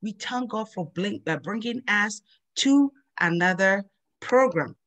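A middle-aged woman, hosting a children's Bible lesson over a video call and slowly drilling memory verses in a sing-song teacher voice. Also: medium pitch, lively intonation, fairly bright tone. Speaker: host of a children's Bible program.

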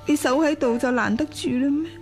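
An actress playing a frail, gravely ill concubine in a radio drama, speaking plaintively and with resignation. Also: female voice, high-pitched, brisk, soft background music. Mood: fearful, plaintive. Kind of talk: Cantonese radio drama acting.

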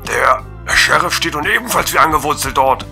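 An actor playing a nervous reporter calling in a strange scene in a radio drama. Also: male voice, on the high side, lively intonation, normal speed, vocal fry, pushed and bright, soft background music, heavily acted. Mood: alarmed. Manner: tense, alarmed. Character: nervous male reporter on a rooftop. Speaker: field reporter.

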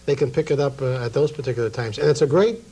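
A middle-aged American man hosting a TV interview in a conversational, halting and deliberate way. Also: medium pitch, warm tone, slightly rough. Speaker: talk show host. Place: small TV studio.

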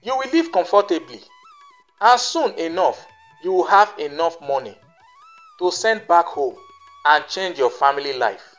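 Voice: mid-range voice, big pitch swings, unhurried, long pauses, fairly bright tone, faint music behind. A narrator voicing a reassuring, scheming madam. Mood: persuasive. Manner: reassuring, persuasive. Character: smooth-talking, scheming madam luring a young woman.

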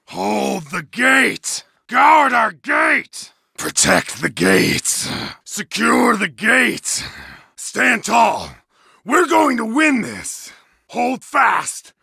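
A savage berserker warrior roars threats in a raw, gravelly voice that climbs high and swings wildly. The delivery is slow and drawn out, with a harsh, bright edge. The recording is dry and close, like a game studio booth. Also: male voice, very animated, dry recording, heavily acted. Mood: commanding. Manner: bellowing, growling, gravelly battle shouts. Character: savage wild-eyed male berserker warrior, bloodthirsty. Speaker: game character voice. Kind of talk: video game combat voice lines. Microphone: close studio mic.

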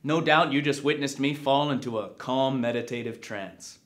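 A man's voice: mid-range voice, normal speed, fairly bright tone, lightly acted. Casting: man as self-important speed-reading expert, pompous, formal comedy delivery. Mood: smug. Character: pompous, self-assured extreme speed reader. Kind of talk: character comedy monologue.

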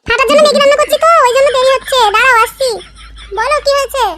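A woman's voice: very high-pitched, steady tone, racing, noisy background. A woman voicing a teasing, pert young village housewife in a comic cartoon.

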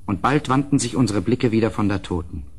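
An actor playing an uneasy gentleman who narrates a gothic radio play in a measured, ominous, slightly husky voice. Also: fairly low pitch, lively intonation. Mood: somber, ominous.

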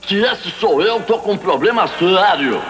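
Brash, high-pitched cartoon wisecracker. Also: male voice, expressive, unhurried. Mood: brash, emphatic. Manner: emphatic, joking, preachy. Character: brash wisecracking cartoon mentor lecturing against drugs. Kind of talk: dubbed cartoon acting. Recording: old cartoon soundtrack with music.

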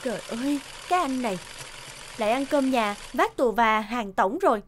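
A woman's voice: a little high, lively intonation, moderate pace, a little hiss or hum, close to an everyday voice. A woman voicing a nagging, practical young wife in a cartoon, scolding in an exasperated way. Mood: grumbling. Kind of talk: cartoon voice acting.

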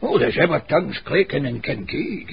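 An actor playing a venerable, learned Scottish cobbler in a radio drama, telling gossipy tales in a wordy, formal dialect. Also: male voice, on the high side, animated, a little fast, creaky. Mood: gossipy storytelling. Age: older. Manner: wordy, dialect-rich, pedantic storytelling. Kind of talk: radio drama dialogue, storytelling. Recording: muffled radio broadcast, background hiss.

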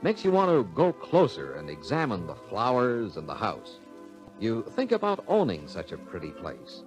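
A man's voice: mid-range voice, wildly swooping pitch, moderate pace, music in the background, poor audio. A man with an American accent narrating a scripted 1940s instructional film in a folksy, instructive way, speaking straight to the listener, on a vintage film soundtrack.

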